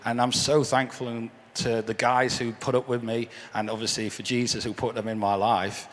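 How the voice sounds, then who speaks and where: A man with a hoarse, croaky voice gives an earnest church testimony as a guest speaker, often repeating words as he restarts. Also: mid-range voice, level tone, moderate pace, fairly bright tone. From England. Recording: echoey hall with faint ambience.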